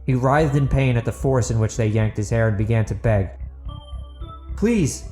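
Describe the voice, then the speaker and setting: A man's voice: medium pitch, fairly even intonation, fairly quick, warm tone, faint music behind. A man reading a dramatic scripted fantasy audiobook as narrator.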